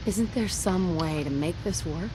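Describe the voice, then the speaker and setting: An actress plays an earnest female wasteland survivor in a video game. Her voice sits a bit low and sounds determined, with clear background noise around it. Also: unhurried, natural voice. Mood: urgent, pleading. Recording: noisy background ambience under voice.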